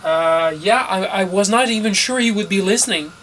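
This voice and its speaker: A man's voice: high-pitched, normal speed, fairly bright tone, a little hiss or hum, poor audio. Middle-aged man narrating a technical vlog. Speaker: amateur radio operator narrating a project.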